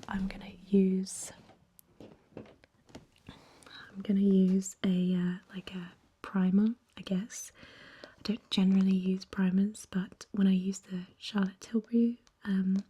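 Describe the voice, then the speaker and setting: A young woman speaking very softly in a gentle, halting ASMR makeup chat, her whispery voice slightly croaky and hoarse after an illness. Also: medium pitch. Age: young adult. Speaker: ASMR vlogger.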